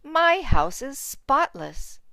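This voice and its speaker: Woman reading as a lively, tidy farmer's wife. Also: sits high, drawn-out words, creaky, no echo, voice pushed up, heavily acted. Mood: brisk, resolute. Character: tidy, house-proud farmer's wife, brisk and exclamatory.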